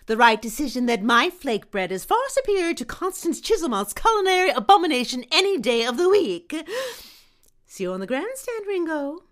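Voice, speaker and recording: Lady doing a bossy cartoon fish auntie, highish, sugary but snooty, super animated. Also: normal speed. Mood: pushy, sugary. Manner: sugary but insistent, haughty. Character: proud, pushy, competitive cartoon fish aunt. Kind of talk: cartoon audio drama acting.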